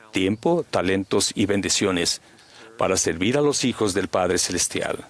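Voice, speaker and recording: A man interpreting a sermon, with a measured, reverent delivery. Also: lowish voice.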